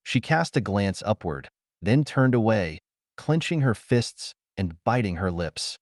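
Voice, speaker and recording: A man's voice: on the low side, very animated, unhurried, no room sound, studio quality. A man narrating a story as an audiobook, reading scripted prose dramatically.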